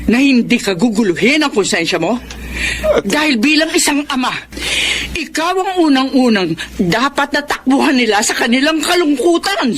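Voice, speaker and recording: A woman's voice: sits high, animated, normal speed, a little hiss or hum. A woman with a Filipino accent playing a stern, indignant elder sister in a radio drama. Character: stern, indignant older sister scolding her brother.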